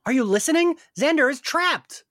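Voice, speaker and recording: A woman's voice: high-pitched, lively intonation, moderate pace, a bit bright, no room sound, clean studio sound. A woman playing a worried, urgent friend in a podcast script read. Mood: frantic.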